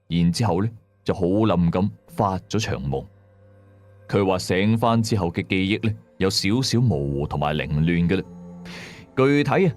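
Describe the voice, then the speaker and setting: A man's voice: fairly low pitch, dark tone. A man with a Hong Kong accent narrating a scripted ghost story in a colloquial, dramatic and suspenseful way.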